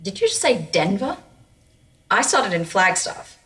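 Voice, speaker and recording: Husky, defiant captive in reverb. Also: female voice, medium pitch, sing-song, a little slow, a bit bright, heavily acted. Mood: sardonic. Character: defiant, sardonic captive young woman, scared but tough. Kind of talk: audio drama acting. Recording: long hollow reverb.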